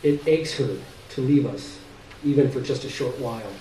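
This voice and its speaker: A man's voice: medium pitch, normal speed, mellow, recorded from a distance, a little hiss or hum, close to an everyday voice. Man acting an earnest older uncle, roomy sound. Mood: tender, proud. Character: earnest, devoted older uncle, proud and reverent.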